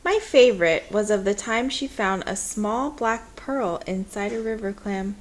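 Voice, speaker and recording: Woman reading a kids book out loud, nice and slow, expressive, medium pitch. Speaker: picture book reader. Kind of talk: children's story read aloud.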